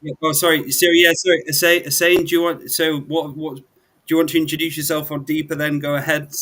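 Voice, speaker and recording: A man's voice: on the high side, little pitch movement, brisk, bright, forceful tone. A man hosting a show, speaking conversationally and reflectively about spiritual matters. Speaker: show host.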